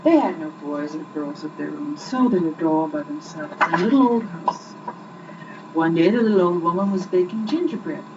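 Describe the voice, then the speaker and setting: Young woman reading storybooks, very animated, muffled tape. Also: on the low side, normal speed. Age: young adult. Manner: rhythmic, expressive storytelling with repetition. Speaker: mother reading stories aloud to children. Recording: muffled, noisy 1980s home recording, distant mic.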